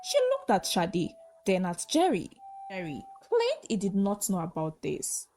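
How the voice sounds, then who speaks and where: Woman storyteller with swooping, animated pitch. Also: a little slow. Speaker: storyteller, narrator. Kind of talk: scripted folktale narration.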